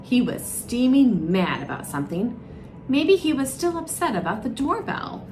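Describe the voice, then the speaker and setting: A woman's voice: a little high, big pitch swings, normal speed, darkish tone, slight background noise, low-quality recording. A woman storyteller narrating a children's storybook aloud. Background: music.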